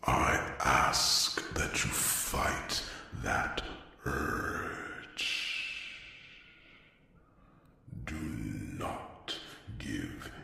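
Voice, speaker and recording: A man playing a solemn, gentle fantasy mentor in an ASMR roleplay, speaking in a hushed, breathy whisper with measured pauses. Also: extremely low pitch, expressive, heavily acted. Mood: earnest, soothing. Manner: slow, hushed, measured, with pondering hums. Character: solemn, gentle wise mentor counselling a young friend in dragon fantasy. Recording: echoey, distant, noisy with long reverb.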